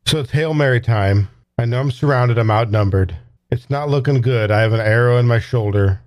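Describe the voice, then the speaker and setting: A man's voice: medium pitch, brisk, dry recording. A man playing a tabletop game, casually improvising what his character says and does.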